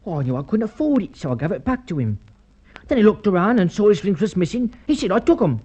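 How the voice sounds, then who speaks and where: A man's voice: high voice, big pitch swings, quick pace, mellow, breathy edge, dull audio, full character voice. An actor playing a nervous, defensive working-class young man, in vintage radio drama style. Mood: earnest. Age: young adult. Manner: hesitant, ungrammatical, protesting. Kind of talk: Golden Age radio drama acting.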